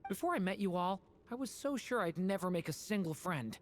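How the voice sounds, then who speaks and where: A man voicing an earnest, gentle youth in a video game, sincere and reassuring. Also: high voice, expressive, fairly quick, slight background noise. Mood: earnest, warm. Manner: earnest, soft-hearted, reassuring. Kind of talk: dramatic video game voice acting.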